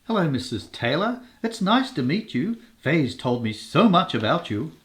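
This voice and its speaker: A man's voice: a little high, sing-song, moderate pace, fairly bright tone. A man playing an eager, polite older suitor in a comic radio soap, earnest and ingratiating. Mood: nervous flattery.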